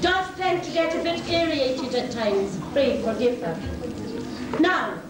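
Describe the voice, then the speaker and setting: A haughty wicked queen commands in a very high, slow, theatrical voice. She is pompous and imperious in amateur pantomime style, heard from far off in a very echoey, noisy school hall. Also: expressive, music in the background. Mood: haughty, scornful. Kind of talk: amateur stage pantomime acting. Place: school stage, recorded from far off.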